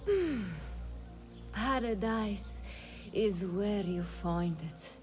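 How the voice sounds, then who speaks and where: A woman's voice: mid-range voice, animated, very slow, darkish tone, faint music behind. A woman playing a pampered, imperious lady in a video game cutscene, haughty and languid. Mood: contented.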